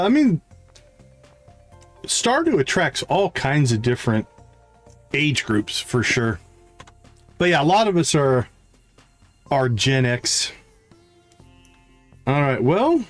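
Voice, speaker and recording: A man livestreaming a game, thinking aloud in casual commentary and reading item stats in a deliberate, musing way. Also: a little high, sing-song, faint music behind.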